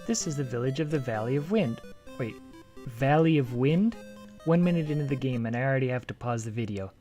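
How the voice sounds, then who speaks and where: A man's voice: mid-range voice, brisk, faint music behind. A man hosting a video that compares game translations, reading lines of game text aloud and breaking off to comment. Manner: lively, jokey, breaks off to comment with sudden excitement.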